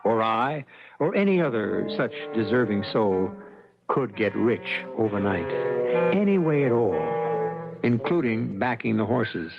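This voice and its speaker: A man hosting an old radio drama, reading his script in a measured, wry and formal manner. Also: on the low side, expressive, vocal fry. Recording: dull old radio broadcast, music behind.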